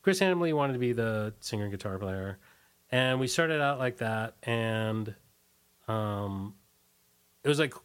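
A middle-aged American man with a mid-pitched voice talks as a guest in a casual interview. He rambles and hesitates, pausing mid-thought and leaning on "like" as he reminisces. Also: lively intonation, smooth. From Washington, D.C., USA. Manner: halting, hesitant, rambling, frequent "like".

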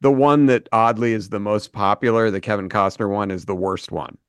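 A man's voice: on the low side, steady tone, fairly quick, clean tone, dry recording, clean studio sound. Enthusiastic man hosting, rambling questions. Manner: enthusiastic, rambling questions full of fillers and restarts. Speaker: podcast host. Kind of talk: casual interview chat.

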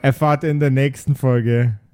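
A man's voice: medium pitch, steady tone, moderate pace, darkish tone, soft background music. A man hosting a podcast casually, jokey and playful as he reads out names and addresses listeners. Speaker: podcast host and player of an improvised tabletop role-playing show. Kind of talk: casual podcast outro, reading out supporter names.

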